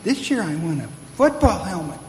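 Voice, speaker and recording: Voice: medium pitch, big pitch swings, moderate pace, a little mellow, natural voice. A performer playing an eager, trusting young boy in an old-time radio play, recorded in a roomy hall. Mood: eager, innocent. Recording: roomy hall from far off, clear noise.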